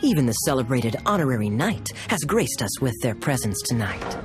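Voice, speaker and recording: An actor playing a suave young officer in a video game, smooth-talking and wry with theatrical charm. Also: male voice, medium pitch, big pitch swings, moderate pace, close to an everyday voice. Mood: wry, teasing. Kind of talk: English video game voice acting. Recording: music playing behind the voice.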